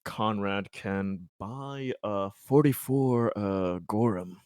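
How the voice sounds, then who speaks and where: A man's voice: fairly low pitch, takes it slowly, a little mellow, clear, resonant tone, dry recording, clean studio sound. A man taking part in a tabletop roleplaying game as a player, talking casually.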